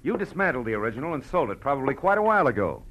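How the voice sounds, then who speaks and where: An American actor playing a blunt, shrewd, world-weary private detective in a clipped, wry 1940s radio style. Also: male voice, mid-range voice, sing-song, rapid-fire, a bit bright, slight background noise, poor audio. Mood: accusing, pressing. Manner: clipped, tough, wry delivery. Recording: vintage radio recording with faint music.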